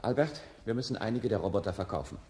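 A man's voice: fairly low pitch, animated, quick pace, mellow, close to an everyday voice. Echoey male boss, an actor, exasperated, swinging intonation, robot household, 1970s German radio drama. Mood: awkward, pleading. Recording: roomy, echoing old radio play.

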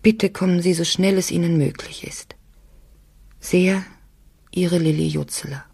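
A frightened wife in an audio drama. Her voice is husky, with a creaky edge, as she pleads for help in hushed tones. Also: fairly low pitch, fairly even intonation, measured pace, exaggerated voice. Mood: anxious, pleading. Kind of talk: audio drama, letter read as monologue.

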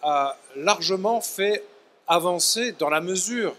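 A man's voice: sits high, lively intonation, takes it slowly, fairly bright tone. A middle-aged man, a professor guest on a trade fair panel, talking articulately and gesturing as he speaks into a handheld mic. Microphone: handheld microphone.

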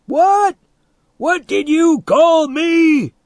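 A man voicing a big, angry bully in a story, shouting, taunting and threatening in a raised voice. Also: mid-range voice, slow pace, no echo, clean studio sound, close to an everyday voice. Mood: furious.

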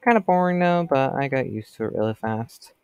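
A man's voice: mid-range voice, lively intonation, fairly quick, a little mellow, smooth, dry recording, clean studio sound. A teenage boy with a stuffy cold voice, rambling casually as he comments on his gameplay. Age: teens. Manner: chatty, rambling, talks to customers.

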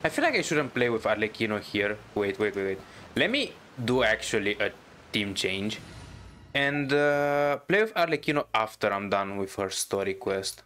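A man's voice: lowish voice, lively intonation, moderate pace, pushed and bright. A man giving casual let's play commentary as a gaming streamer, talking off the cuff. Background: video game music.

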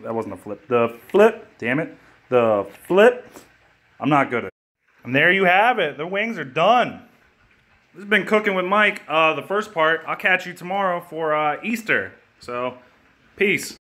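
A young man in his twenties filming a comedic cooking video in his home kitchen on a phone held at arm's length, cracking crude deadpan jokes and swearing freely. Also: fairly high pitch, measured pace. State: hungover.

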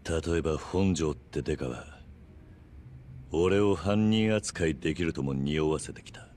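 Stoic yakuza veteran, extremely low voice, terse, grave, resolute, dramatic game acting. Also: male voice, measured pace, darkish tone, slight background noise.

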